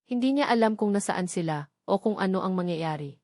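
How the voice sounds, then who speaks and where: A woman's voice: mid-range voice, lively intonation, brisk, a little mellow, dry recording, studio quality. A woman with a Filipino accent reading a scripted, dramatic story as its narrator.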